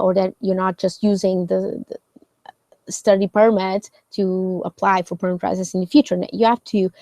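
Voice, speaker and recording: A woman's voice: medium pitch, creaky, darkish tone, no room sound. A woman hosting a live question session, explaining casually with many fillers and "right?" checks. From Portugal. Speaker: host answering viewer questions.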